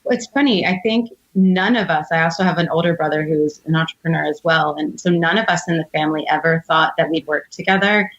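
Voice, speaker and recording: A woman's voice: low voice, fairly quick, few pauses, fairly bright tone, lo-fi sound. An American woman in her thirties telling personal stories in an articulate, reflective, conversational way as an interview guest. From United States, outside Philadelphia. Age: 30s. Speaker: podcast guest, entrepreneur.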